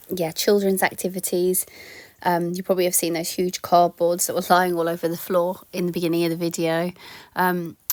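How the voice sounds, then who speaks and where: A woman in her thirties, slightly croaky from a sore throat, chatting casually in a voice-over about tidying her home, with lots of ums and yeahs. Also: fairly low pitch, darkish tone, no echo.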